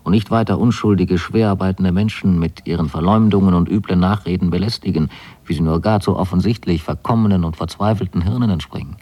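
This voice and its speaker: An actor voicing a gruff, pompous man in a radio play, indignant and emphatic in manner. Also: deep voice, a little fast, warm tone. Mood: indignant, threatening. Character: gruff, pompous dark-haired man hostile to intruders. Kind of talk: literary radio drama.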